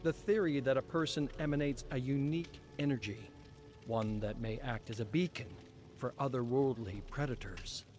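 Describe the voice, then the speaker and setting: Man reading game lore over music. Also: mid-range voice. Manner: measured, expressive storytelling delivery. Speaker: lore reader. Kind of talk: dramatic reading of game story text. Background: clear background music.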